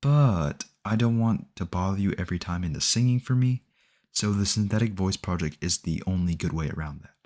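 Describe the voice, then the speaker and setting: A man's voice: low-pitched, a little fast, a little mellow, close to an everyday voice. A man playing a sweetly possessive, obsessive boyfriend in an ASMR audio roleplay, rambling earnestly and intimately to the listener. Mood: earnest, self-justifying. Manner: doting, rambling, earnest self-justifying, close and conversational.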